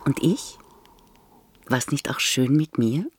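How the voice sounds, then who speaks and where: An actress playing a pianist's affectionate, pleading lover in a radio drama, intimate and coaxing. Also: female voice, a bass voice, animated, slow, noisy background, exaggerated voice. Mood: wistful, intimate.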